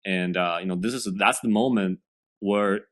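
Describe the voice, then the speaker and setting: A young man from China speaks with a Chinese accent in a very low, deep voice. He is a podcast guest who talks earnestly and haltingly, restarting phrases and leaning on fillers. English is not his first language. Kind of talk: podcast interview.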